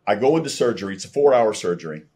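An older American man with a very low voice and a rough, husky edge. He shares a personal story as a podcast guest, earnest and a little halting. He speaks from a room at home over a video call. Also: expressive, darkish tone. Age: older, around 60. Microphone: video call, computer microphone at desk distance.

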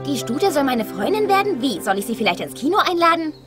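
A woman voicing a sporty, flippant young girl who gets frustrated, in a German animated film dub. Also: high-pitched, fast talker, music in the background. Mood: sarcastic. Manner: sulky, flippant.

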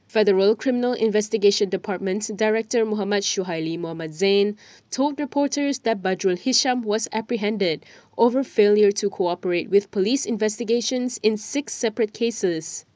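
A woman reads news copy in a steady, measured voice-over. Her voice sits at a middle pitch. The studio sound is close and dry. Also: no room sound. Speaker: news presenter. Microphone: studio microphone.

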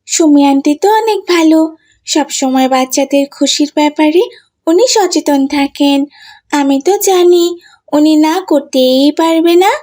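A woman voicing an excitable, bossy little girl in a children's cartoon, chatty and expressive. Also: high-pitched, unhurried. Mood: eager.

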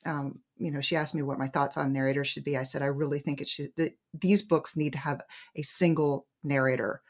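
A woman's voice: deep voice, fairly even intonation, a little fast. A woman author in a casual interview, talking thoughtfully and restarting her phrases as she goes. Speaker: romance author, guest. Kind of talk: conversational interview.

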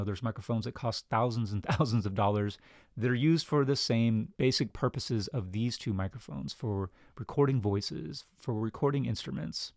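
A man's voice: medium pitch, a little mellow, dry recording. A man in his thirties giving a casual product review into a close studio microphone in a small home studio. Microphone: studio broadcast mic on a desk stand, close.

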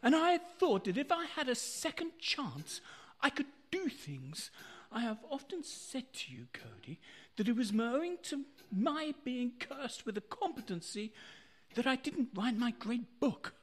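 A woman playing an amiable, sentimental old gentleman in a radio play, husky and halting. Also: a little high, sing-song. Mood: rueful. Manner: halting, hesitant, wistful.

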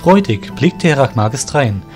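A man's voice: medium pitch, big pitch swings, unhurried, darkish tone. A man narrating a scripted fantasy story as the narrator of an audio drama. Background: music.

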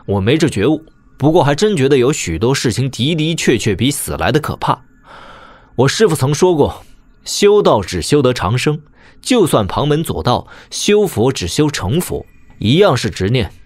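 A man's voice: mid-range voice, sing-song, moderate pace, no room sound. A man playing a wry, easygoing young noble swordsman in a martial-arts audio drama, chatting in a teasing, conversational way. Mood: wry, reflective.

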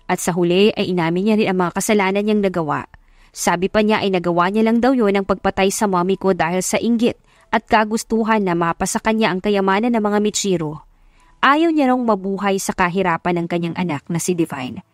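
A woman's voice: mid-range voice, a little fast, no echo, studio quality. A woman narrator reading a scripted first-person love story as a dramatic audiobook.

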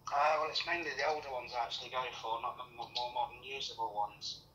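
A man with an English accent talks politely and matter-of-factly in a casual conversation, heard through a phone loudspeaker. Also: mid-range voice, fast. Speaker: call recipient, stamp buyer. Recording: distant, noisy, echoey speakerphone.

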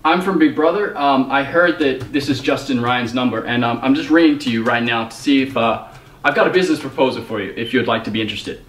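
A man's voice: mid-range voice, fast talker, fairly bright tone. Young man, hesitant, in a roomy space. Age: young adult. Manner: hesitant, repeats phrases. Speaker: prank caller posing as a TV producer. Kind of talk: improvised prank phone call. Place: small bedroom, indoors. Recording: roomy, slightly distant microphone.

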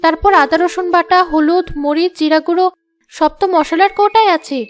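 A woman voicing female family characters in a scripted cartoon, with expressive, dramatic delivery. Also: very high-pitched, normal speed. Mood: anxious, self-talking. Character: women of a family cartoon: fussy aunt, anxious young bride.

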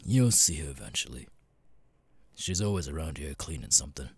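A man voicing a gruff, jaded cat demon bartender in an ASMR roleplay, muttering and swearing wearily with hesitant pauses in a deep, gravelly rasp. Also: lively intonation, no room sound, full character voice. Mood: grumbling.

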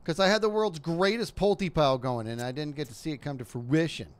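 A man's voice: fairly high pitch, a little fast. A man streaming a game, chatting casually with rambling restarts and frustrated muttering. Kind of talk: casual gaming stream chat.

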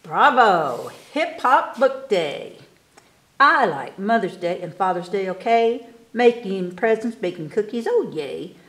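Slow-paced woman reading to children. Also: mid-range voice, echoey room. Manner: animated, unhurried, enunciating for children. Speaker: library storytime reader. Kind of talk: expressive read-aloud of children's poems. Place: indoors in a room, book filmed on table.

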